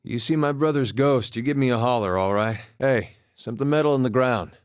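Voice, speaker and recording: A man's voice: mid-range voice, brisk, smooth, no room sound, studio quality, close to an everyday voice. A man voicing a laid-back, wry farmer turned soldier in a video game, with a plainspoken, understated folksy manner. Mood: searching, casual. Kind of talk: performed game dialogue.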